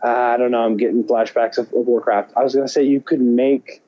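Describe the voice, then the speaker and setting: A man co-hosting a podcast, chatting casually and thinking aloud in halting, hesitant phrases. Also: medium pitch, fairly even intonation, muffled recording. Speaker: podcast co-host. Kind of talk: casual chat about movies and games.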